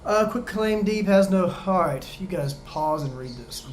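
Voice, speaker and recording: A man tutoring viewers through real estate exam questions in a casual, chatty way, joking and going a bit hoarse. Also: high-pitched, expressive, unhurried, echoey room, soft background music. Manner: chatty, talks straight to viewers, jokey asides. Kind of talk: casual practice-exam walkthrough.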